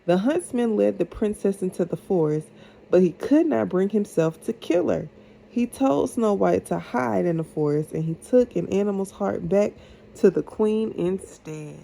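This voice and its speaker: A mother reading a storybook aloud to her child in a patient, deliberate, encouraging way. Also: medium pitch, expressive, creaky, dark tone, slight background noise.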